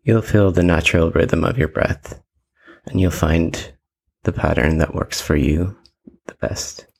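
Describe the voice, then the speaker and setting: A man leading a guided meditation with calm, measured instructions and long pauses between phrases. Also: sits low, gravelly.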